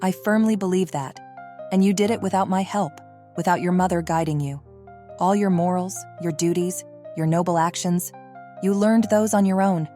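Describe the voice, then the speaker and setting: Casting: actress for low, grave spy mother, remorseful and tender, measured audio drama confession. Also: warm tone, soft background music, close to an everyday voice. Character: remorseful, loving mother, secret agent leaving a recorded confession.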